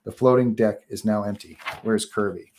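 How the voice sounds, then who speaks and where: Low-pitched man narrating a script. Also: steady tone, normal speed, darkish tone, slight background noise. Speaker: narrator reading stage directions. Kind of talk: scripted narration at a live table read.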